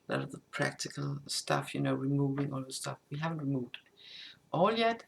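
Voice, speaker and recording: A woman whose first language is Danish, a tarot reader who gives a casual spoken update, halting and improvising with many restarts. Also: very deep voice, creaky, a little hiss or hum.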